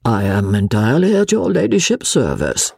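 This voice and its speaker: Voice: mid-range voice, huge pitch swings, normal speed, gravelly, a little mellow, slightly rough, dry recording, studio quality, exaggerated voice. A reader voicing a courteous, gallant male cabinet minister.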